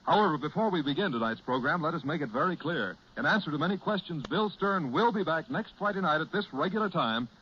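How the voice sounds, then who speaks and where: A man's voice: on the high side, animated, quick pace, few pauses. An American man announcing on a 1940s radio show with polished, emphatic salesmanship. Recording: muffled, noisy 1940s radio broadcast.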